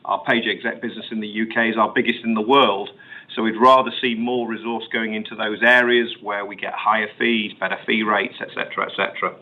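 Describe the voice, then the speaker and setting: A man's voice: on the low side, fairly even intonation, a little fast. Man on a muffled phone line. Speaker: company executive. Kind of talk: answering questions on an earnings call. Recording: muffled phone-line conference call audio.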